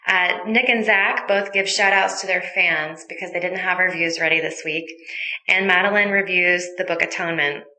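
A woman's voice: fairly low pitch, sharp tone. A woman hosting a podcast, giving an informal rundown of the segments. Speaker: podcast host introducing segments. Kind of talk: informal scripted introduction. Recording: roomy, echoey sound.

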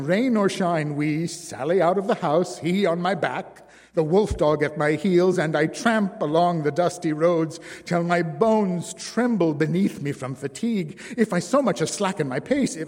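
A man's voice: high voice, darkish tone, voice pushed up. A man voicing an exhausted, desperate former circus woman who pleads through tears. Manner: pleading, tearful. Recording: long reverb.

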